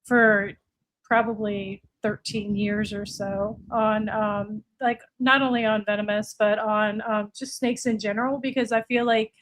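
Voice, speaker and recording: A woman's voice: medium pitch, little pitch movement, measured pace, low-quality recording. A woman in her late forties with an American accent, chatting casually as an interview guest. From United States (Virginia, earlier Florida). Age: late 40s. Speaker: podcast guest, reptile breeder.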